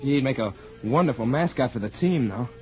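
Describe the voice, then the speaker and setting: A man acting a breezy, excitable young American college sidekick in a 1940s radio drama, boyish and casual. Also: medium pitch, fairly quick, faint music behind, close to an everyday voice. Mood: upbeat, helpful. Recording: muffled vintage 1940s radio broadcast.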